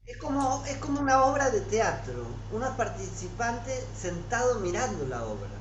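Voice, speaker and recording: A woman adding quick explanatory asides in a casual Bible discussion. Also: a little high, very animated. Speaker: Bible study participant. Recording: lo-fi, roomy, with background noise.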